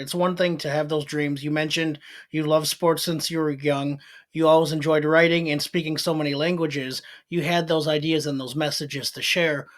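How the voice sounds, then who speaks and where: A man hosting a podcast who asks his guest encouraging, probing questions. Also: on the high side. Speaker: podcast host. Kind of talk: interview questions.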